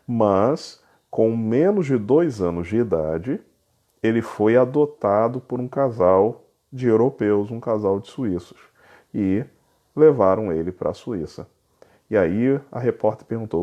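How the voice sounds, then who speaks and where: A man with a fairly low, mellow voice lectures in an explanatory way. He repeats his points and slows down on key words so they land. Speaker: teacher. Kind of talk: recorded lecture.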